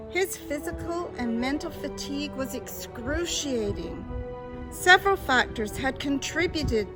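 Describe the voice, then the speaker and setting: A woman reading scripted dramatic narration in a solemn, deliberate manner. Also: high voice, poor audio. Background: loud music.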